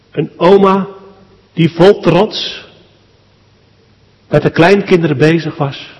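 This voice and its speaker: A man, a pastor, leads a solemn public prayer at a church service in short, measured phrases with deliberate pauses. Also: on the high side, a little mellow, muffled recording. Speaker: pastor leading a funeral service. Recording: long church hall reverb.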